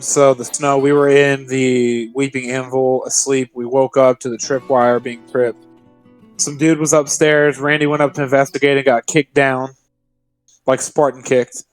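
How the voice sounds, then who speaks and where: A man's voice: mid-range voice, rough recording. A man playing a tabletop roleplaying game, talking casually and recapping events. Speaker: player.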